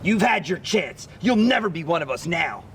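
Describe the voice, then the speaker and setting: A man's voice: on the high side, very animated, moderate pace, fairly bright tone, slight background noise. A man voicing a menacing, controlling tiger in a children's storybook, taunting and giving orders. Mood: cold, threatening. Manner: taunting, commanding. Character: menacing, controlling male tiger gang tempter. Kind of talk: picture-book read-aloud.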